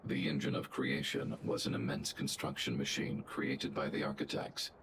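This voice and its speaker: A massive game robot speaks in an extremely low, unvarying voice. It is cold and analytical, issuing clipped statements through a robotic filter, with clear noise around it. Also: male voice, little pitch movement, normal speed. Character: huge cold logical male guardian robot.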